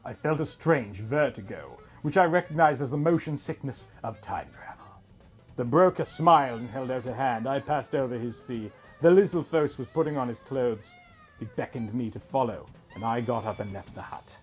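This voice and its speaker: A man's voice: medium pitch, lively intonation, moderate pace. A man reading a scripted first-person story as its narrator. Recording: music bed under the voice. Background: music.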